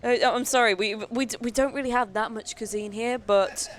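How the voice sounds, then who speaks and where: A woman's voice: fairly high pitch, steady tone, moderate pace. A woman hosting a speedrun marathon, reading donations and bantering in a playful, chatty way. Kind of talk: casual live hosting banter. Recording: clearly noisy event room.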